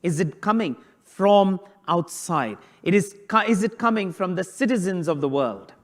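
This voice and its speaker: Priest guy preaching, kinda high voice, keeps throwing questions at the congregation. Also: lively intonation, measured pace. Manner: conversational preaching, rhetorical questions. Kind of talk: sermon on a Bible passage.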